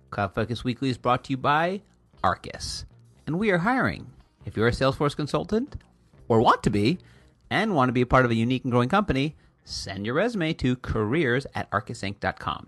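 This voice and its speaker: A man hosting a casual podcast, his booming voice rising and falling in lively swings. He talks close to the mic in a dry, dead room, chatty and teasing. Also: dry recording. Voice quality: loud booming voice. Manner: chatty and teasing, quick asides. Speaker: podcast host. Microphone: close podcast mic, pops.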